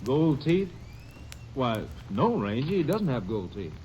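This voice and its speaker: An actor playing an obliging country doctor in a vintage radio drama. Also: male voice, medium pitch, big pitch swings, slow pace, highs cut off, music in the background. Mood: puzzled. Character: country doctor, helpful and matter-of-fact. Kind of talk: 1950s radio drama acting.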